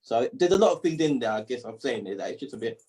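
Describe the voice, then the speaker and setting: Mellow-voiced man musing aloud. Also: medium pitch, animated, fast talker. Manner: reflective, questioning, searching for words. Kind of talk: online group discussion.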